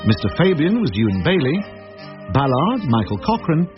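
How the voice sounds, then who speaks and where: A man's voice: fairly high pitch, sing-song, normal speed. A man reading scripted credits as a radio announcer. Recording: dull radio recording, music behind.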